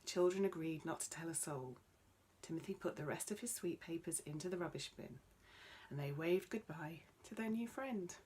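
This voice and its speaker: Lady reading a kids bedtime story, bit low and husky, expressive storyteller. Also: moderate pace. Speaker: children's storyteller.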